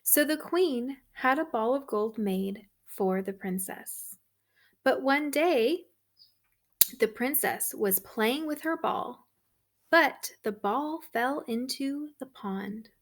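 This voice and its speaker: A woman reading a story aloud to children, expressive and deliberate. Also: sits high. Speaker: storybook reader for third graders.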